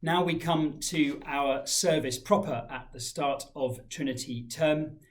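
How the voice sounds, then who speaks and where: Formal man reading an assembly address. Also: on the high side. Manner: measured, formal, reading from a folder. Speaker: head teacher. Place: small indoor room with framed pictures.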